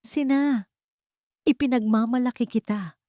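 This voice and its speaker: A woman's voice: on the high side, measured pace, dark tone, no room sound, pitched above the performer's own voice, lightly acted. A woman storyteller plays a loving husband in a romance tale. He speaks with tender, earnest pride, in a dry recording. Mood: proud, tender.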